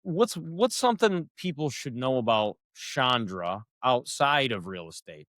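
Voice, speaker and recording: A man's voice: mid-range voice, big pitch swings, measured pace, fairly bright tone, no echo, studio quality. A man hosting a podcast, enthusiastic and hype-style, often tagging his sentences with "right?" and "like". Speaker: podcast host, loan officer. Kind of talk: casual podcast interview.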